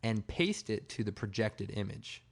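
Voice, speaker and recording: A man with a slightly hoarse, raspy voice giving a casual, informal software tutorial, explaining step by step. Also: lowish voice, expressive, measured pace. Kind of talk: casual screen-recorded tutorial narration.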